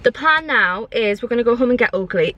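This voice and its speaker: A woman's voice: a little high, expressive, a little fast, bright, forceful tone, muffled recording. A young British woman chatting casually to her vlog viewers in an informal, rambling way. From United Kingdom. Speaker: vlogger.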